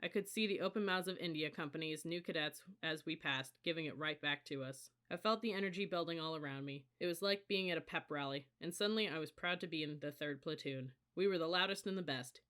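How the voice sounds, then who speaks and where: Bright-voiced audiobook story reader. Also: medium pitch, fairly quick, few pauses. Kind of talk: scripted first-person story narration.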